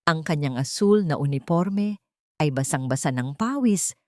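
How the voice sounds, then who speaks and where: A woman narrator reading a scripted dramatic audio story in a measured, theatrical storytelling way. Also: sits low, animated, dark tone, no echo, studio quality.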